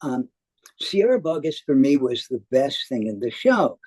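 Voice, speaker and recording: Hesitant man reviewing theater, animated pitch. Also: no echo. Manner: halting, with frequent hesitations. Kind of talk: semi-scripted spoken review.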